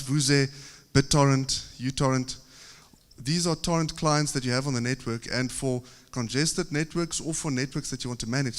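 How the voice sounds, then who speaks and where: A man from South Africa with a South African accent, giving a technical conference presentation at a podium with steady explanations and occasional filler pauses. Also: mid-range voice. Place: large conference hall. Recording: reverberant conference hall.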